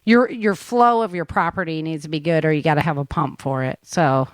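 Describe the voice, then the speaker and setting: A woman's voice: sits low, a little fast, no room sound. A woman hosting a podcast in casual chat, informal and slangy, chiming in freely. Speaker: podcast host.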